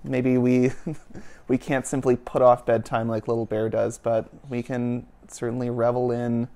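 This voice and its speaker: A young man reads a bedtime picture book aloud in an even, mid-pitched voice. His cadence is gentle and lilting, like a storybook read at home. He is picked up close by a lapel mic in a living room. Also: unhurried. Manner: gentle storybook cadence, softening toward the end. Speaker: children and families minister. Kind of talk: reading a picture book aloud, then a short reflection. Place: living room, indoors, seated on a couch.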